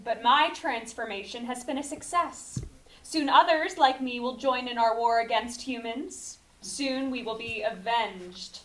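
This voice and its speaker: A woman's voice: fairly high pitch, a little slow, fairly bright tone. A woman playing a cold, vengeful creature disguised as an innocent young woman, in a screenplay table read. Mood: scornful, vengeful. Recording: distant mic, clearly noisy.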